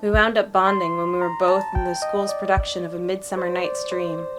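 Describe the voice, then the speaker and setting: A woman's voice: on the low side, little pitch movement, fairly quick, music in the background, lightly acted. A woman voicing a friendly, chatty, playful young woman from a small town in a visual novel fan dub. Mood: fond, reflective.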